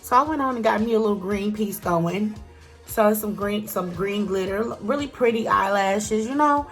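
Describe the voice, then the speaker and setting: A woman's voice: medium pitch, normal speed, soft background music. A woman talking casually to camera as a vlogger, chatty and encouraging, with a stuffy nose.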